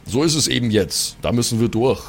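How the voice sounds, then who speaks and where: A man playing a dutiful, studious, resigned adventurer in an improvised audio play, reasoning earnestly with pauses. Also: medium pitch, animated, vocal fry, slight background noise. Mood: chiding, resigned.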